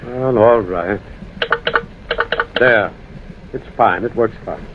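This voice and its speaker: An American actor playing a sober, skeptical railroad man in an old-time radio ghost drama, measured, grave and dramatic. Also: lowish voice, narrow, tinny audio. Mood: firm, concerned. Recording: thin, noisy vintage radio recording.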